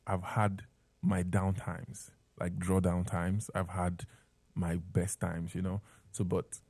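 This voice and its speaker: A man's voice: low voice, dark tone, dry recording. A man with a Nigerian accent talking conversationally as an interview guest, sharing personal stories.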